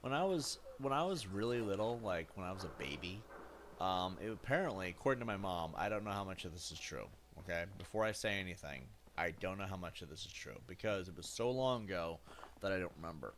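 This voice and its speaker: A man's voice: low voice, a little fast. A man in his thirties from the United States, chatting casually while streaming a game, rambling with lots of fillers and self-corrections. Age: 30s. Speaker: gaming streamer. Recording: steady background noise.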